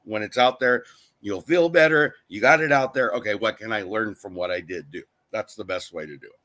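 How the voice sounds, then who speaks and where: A man's voice: fairly low pitch, fairly quick, bright, forceful tone. A man co-hosting a casual live-stream chat, reading viewer comments aloud and answering them. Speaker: co-host of a live channel chat.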